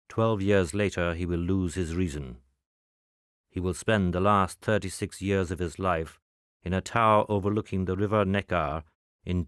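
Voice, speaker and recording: A man reading scripted narration in the manner of a spy dossier, with measured, formal, clipped report delivery. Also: low-pitched, clean tone, no echo, clean studio sound.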